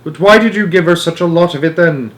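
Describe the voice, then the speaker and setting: An earnest young storybook boy played by a man reading aloud, anxious and questioning. The voice is lifted well above the reader's own pitch, with worried emphasis. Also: a little fast, close to an everyday voice.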